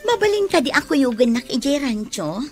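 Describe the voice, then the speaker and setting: A woman's voice: high voice, big pitch swings, a little fast, soft background music. An actress with an Ilocano Filipino accent playing a gushing, boastful young woman in a radio drama. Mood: coaxing. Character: boastful young woman chasing a rich boyfriend.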